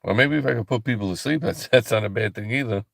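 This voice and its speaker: A tired man hosting a late-night ministry livestream, talking slowly and softly with a calm, relaxing voice, with many pauses and restarts. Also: on the low side, creaky, no echo, studio quality. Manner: slow, halting, soft-spoken, many fillers and restarts. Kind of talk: casual late-night livestream chat with viewers.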